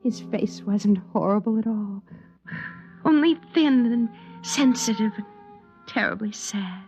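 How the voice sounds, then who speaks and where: A woman's voice: mid-range voice, unhurried, darkish tone, soft background music, close to an everyday voice. An actress playing a lonely, romantic, wistful married woman in a 1950s radio drama, speaking earnestly. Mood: tender, dreamy narration. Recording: dull vintage 1950s radio recording.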